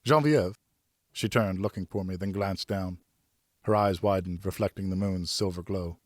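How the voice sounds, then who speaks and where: A man's voice: sits low, flat delivery, normal speed, dry recording, clean studio sound. A man narrating a novel in the first person as a professional audiobook reader.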